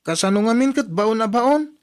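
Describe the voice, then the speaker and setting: A woman's voice: on the high side, animated, moderate pace, darkish tone, lightly acted. A woman plays a lazy young grandchild in an Ilocano radio drama. The voice is sulky and defiant, whining and grudging as it answers back. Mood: whiny complaining.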